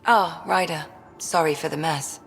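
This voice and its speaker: An actress playing a composed, caring doctor in a video game, measured and matter-of-fact. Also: female voice, deep voice, fairly even intonation, vocal fry. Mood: absorbed. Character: composed, caring female ship's doctor and scientist. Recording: reverberant room with faint music.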